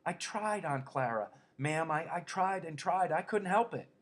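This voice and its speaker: A man voicing a slow-witted, childlike grown man who pleads in a high, whining voice. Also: expressive. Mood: pleading.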